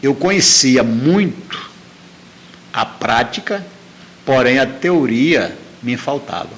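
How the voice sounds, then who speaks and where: A man's voice: medium pitch, animated, slow pace, a bit bright, a little hiss or hum. A middle-aged man telling a personal story as an interview guest, repeating phrases for emphasis.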